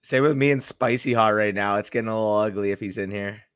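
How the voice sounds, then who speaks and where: A young adult man with a hoarse voice, co-hosting a casual livestream and teasing playfully with lots of slang. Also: lowish voice, fast talker, a bit bright, dry recording, clean studio sound. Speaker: co-host.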